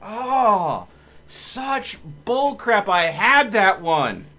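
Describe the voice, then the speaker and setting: A man's voice: high-pitched, very animated, extremely slow, pushed and bright. A man giving casual, blunt and grumbling live commentary while streaming a game. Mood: frustrated. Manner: blunt, grumbling, mildly cursing.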